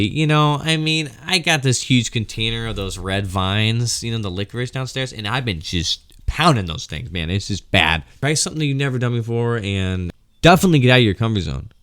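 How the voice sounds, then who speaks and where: A man in casual comedic storytelling mode as a host, animated and slangy, swearing freely and acting out quotes and sound effects. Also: on the low side, clear, resonant tone.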